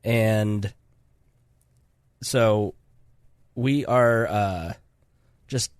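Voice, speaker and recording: A man's voice: on the low side, drawn-out words, clean tone, no echo, studio quality. A man hosting a casual podcast, talking in a rambling, chatty way with his voice congested from a cold. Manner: rambling, self-interrupting, chatty. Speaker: podcast host.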